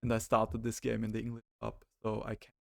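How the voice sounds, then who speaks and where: A man's voice: lowish voice, completely flat delivery, brisk, vocal fry, a little mellow, no echo. A man hosting a casual chat about video games, rambling and hesitant, his mic cutting out now and then. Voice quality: rambling, hesitant, many restarts. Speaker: host. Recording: close mic with dropouts.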